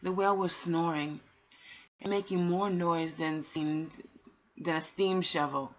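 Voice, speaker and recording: A woman with a slightly husky voice reads a storybook aloud as bedtime narration, unhurried, pausing between phrases. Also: lowish voice, steady tone. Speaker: amateur bedtime story reader. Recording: dull, lo-fi home recording.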